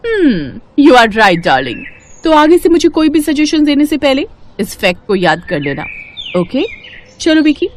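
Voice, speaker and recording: A woman's voice: high voice, sing-song, a little slow, voice pushed up. A woman voicing a spoiled, haughty college-age rich daughter in an audio story, with snooty speech sprinkled with English. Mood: haughty, condescending.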